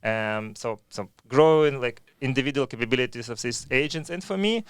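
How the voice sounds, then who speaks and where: Smooth-voiced man explaining telecom. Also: medium pitch, animated, no room sound, studio quality. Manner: measured, explanatory, non-native phrasing. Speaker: telecom expert guest. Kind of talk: conversational podcast discussion.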